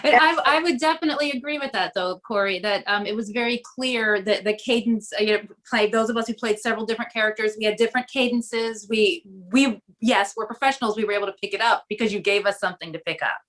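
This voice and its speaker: A woman's voice: fairly high pitch, quick pace, hardly any pauses, a bit bright, poor audio. A woman actor chatting casually on a video call, emphatic and affirming. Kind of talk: casual chat.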